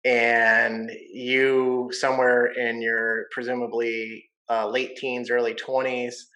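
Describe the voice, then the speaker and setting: Male podcast host, bright voice, loose and friendly banter. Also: mid-range voice, low-quality recording. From Michigan, USA. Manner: easygoing, jokey, says "man" and "you know" often. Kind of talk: casual interview chat.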